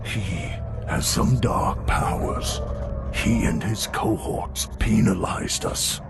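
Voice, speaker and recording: A man voicing a gigantic, gentle abominable snowman in an audio storybook, solemn and gravelly, with a halting, drawn-out delivery. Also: on the low side, over loud music. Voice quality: gravelly, creaky.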